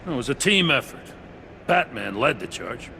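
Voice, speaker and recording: An actor playing a seasoned police commissioner in a video game, wry and matter-of-fact, with a gravelly voice. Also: male voice, mid-range voice, very animated, normal speed, pushed and bright, exaggerated voice. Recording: clear outdoor city noise behind.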